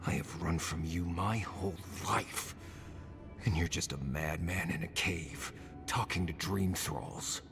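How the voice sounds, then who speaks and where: An embittered, hard-edged adventurer with a low, deep voice. He speaks bluntly and wearily in a fantasy game scene, with loud music behind him. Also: slow pace, heavily acted. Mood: bitter contempt. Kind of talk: video game cutscene acting.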